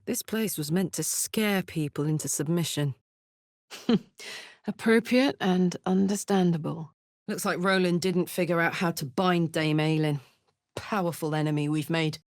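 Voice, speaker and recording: A woman voicing a tough, playful, warm-hearted tiefling warrior woman in a video game, talking bluntly and colloquially with plenty of swearing. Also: fairly low pitch, a little slow, dry recording, studio quality. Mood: casual, wry. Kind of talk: video game character voice lines.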